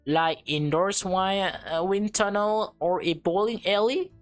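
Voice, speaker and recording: A woman's voice: medium pitch, measured pace. A woman reading a visual novel aloud for a let's play, commenting casually and addressing viewers informally as guys and man. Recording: music playing behind the voice. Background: music.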